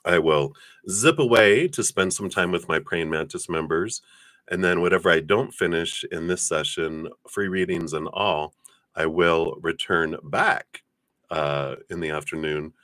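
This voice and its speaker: Male livestream host, very low voice, congested sinuses, dry close sound, chatty and rambling. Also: measured pace, a bit bright, no room sound. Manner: friendly, rambling, frequent fillers and throat clearing. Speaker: tarot reader hosting a livestream. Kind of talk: casual livestream chat.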